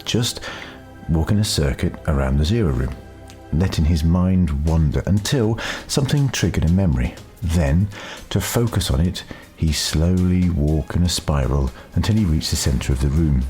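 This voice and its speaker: A man reading scripted story narration in a measured, deliberate way, with dramatic pauses. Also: a bass voice, expressive, dark tone, soft background music. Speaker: audiobook narrator.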